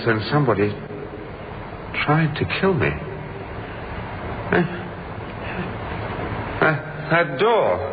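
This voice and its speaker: Slow, halting man freezing to death. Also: mid-range voice. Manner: halting, brooding monologue broken by desperate outbursts. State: freezing, weakening, exhausted. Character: trapped freezing businessman, paranoid and despairing, talking to himself. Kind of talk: radio thriller acting. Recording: muffled lo-fi audio, clearly noisy.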